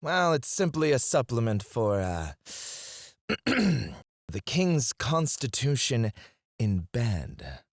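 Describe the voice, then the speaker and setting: A man's voice: mid-range voice, very animated, slow, long pauses, dry recording, clean studio sound, exaggerated voice. An actor playing a haughty, sarcastic, prickly and flirtatious male court alchemist in an ASMR fantasy roleplay, with a sardonic drawl. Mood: sly, amused, awkward. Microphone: close studio mic, dry.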